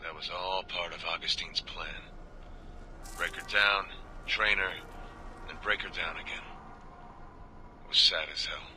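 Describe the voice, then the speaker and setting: An actor playing a world-weary American prison guard, confiding and plain-spoken, in a video game audio log. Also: male voice, sits low, fairly even intonation, unhurried, pauses often, background noise. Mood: somber, sympathetic. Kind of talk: scripted audio-log monologue.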